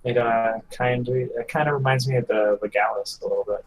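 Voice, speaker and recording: A man's voice: mid-range voice, fairly even intonation, brisk, slight background noise, low-quality recording. A man co-hosting a podcast, chatting casually with a joking manner. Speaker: podcast co-host. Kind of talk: casual chat.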